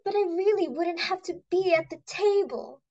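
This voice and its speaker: A woman's voice: very high voice, moderate pace, mellow, dull audio. A woman playing the timid, obliging young daughter of a society hostess in an amateur play reading, meek and placating.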